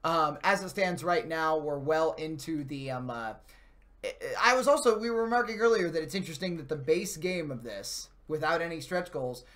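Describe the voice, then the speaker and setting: A man's voice: fairly high pitch, lively intonation, normal speed, a bit bright. A man hosting a gaming live stream, talking casually and enthusiastically in a loose, rambling, slangy way. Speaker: host of a video game channel's live stream.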